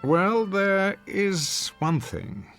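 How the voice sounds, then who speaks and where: An actor in a radio drama playing a cruel, snobbish husband with a pompous, biting manner. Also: male voice, high-pitched, big pitch swings, drawn-out words, fairly bright tone, faint music behind, full character voice. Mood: coolly insistent. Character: cruel, snobbish, sarcastic husband and master of the house.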